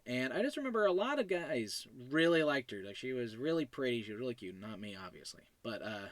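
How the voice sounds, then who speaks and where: A man's voice: mid-range voice, sing-song, a little fast, dry recording. A man hosting a solo podcast in a casual, digressive, self-deprecating way, talking about his own fiction. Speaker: podcast host.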